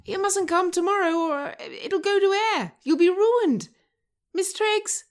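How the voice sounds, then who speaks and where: A woman's voice: very high-pitched, a little slow, pitched above the performer's own voice. A woman voicing a flustered, stammering man who pleads urgently, in a scripted screenplay reading. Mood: desperate pleading. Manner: hesitant, stuttering, urgent. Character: flustered, stammering male reality show contestant, pleading.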